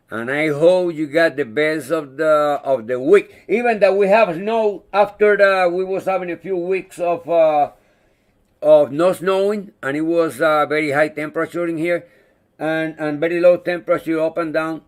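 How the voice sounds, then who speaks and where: A man whose first language is not English, hosting a casual live chat, talking in a halting way and searching for words. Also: on the high side. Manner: friendly, thanks viewers by name. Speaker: livestream host.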